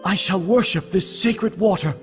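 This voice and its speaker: An actor playing an earnest, devout priest with a solemn, archaic manner in a fantasy game. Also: male voice, sits high, normal speed, close to an everyday voice. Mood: reverent. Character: earnest, devout male priest, humbly reverent. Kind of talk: dubbed fantasy game acting. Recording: long hall reverb, faint music.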